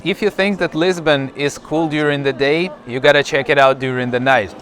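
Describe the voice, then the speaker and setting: A man's voice: fairly high pitch, fairly even intonation, a little fast, a bit bright, a little hiss or hum. A man talking on camera in an enthusiastic, laughing way as he tastes street food outdoors and in a market. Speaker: food vlogger. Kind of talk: on-camera food tasting chat. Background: background music.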